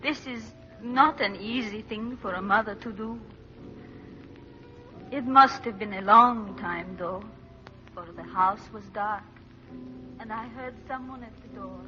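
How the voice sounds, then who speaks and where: A bereaved widow narrating an old radio drama. She speaks slowly and intensely in a fairly high voice. Faint music sits behind the muffled recording. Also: bright, forceful tone. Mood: sorrowful. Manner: solemn, deliberate, intense. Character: bereaved widowed mother solemnly recounting her tragedy. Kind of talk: dramatic first-person radio narration, 1940s.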